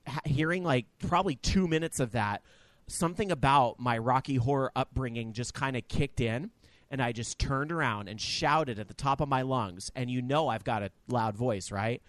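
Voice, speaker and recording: A man co-hosting a casual podcast, telling profane, animated stories. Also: mid-range voice, moderate pace, dry recording. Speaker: podcast co-host. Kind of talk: casual chat.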